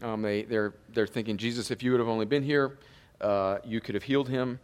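A man's voice: mid-range voice, fairly even intonation, brisk. A male pastor preaching a sermon, chatty at times and then emphatic, sniffling with a slight cold. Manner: conversational preaching that rises to emphatic peaks. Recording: long hall reverb.

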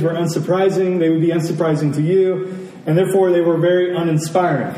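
A man preaching a sermon in a deliberate, emphatic way, with dramatic pauses between phrases. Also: high-pitched, warm tone. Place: church service, speaking to congregation. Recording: roomy echo, distant mic, faint noise.

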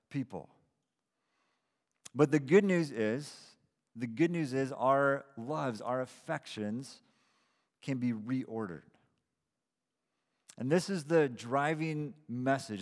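A pastor of about forty speaks to his congregation in an easy, self-deprecating way, often restarting words. His middle-pitched voice rings with the long reverb of a church hall. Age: 40s. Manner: conversational, self-deprecating preaching with repeated starts. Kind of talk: sermon.

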